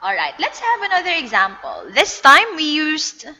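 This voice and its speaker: A woman's voice: sits high, sing-song, normal speed, gravelly, pushed and bright, lo-fi sound. A woman with a Filipino accent giving a recorded lecture, explaining patiently and mixing English with Tagalog. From Philippines. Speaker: teacher. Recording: echoey reverb.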